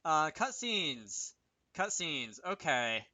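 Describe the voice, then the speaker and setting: A man's voice: on the high side, very animated, very slow, pushed and bright, no room sound. A man giving casual, unscripted commentary over a screen recording, hesitant and thinking aloud as he works. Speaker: game developer hosting a tutorial series.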